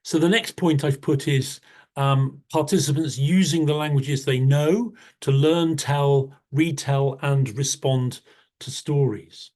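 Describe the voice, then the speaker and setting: Man, workshop leader, warm reflective manner, self-correcting restarts, video call mic. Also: mid-range voice, steady tone, slow pace. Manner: warm, thoughtful, frequent restarts. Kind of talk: online workshop talk.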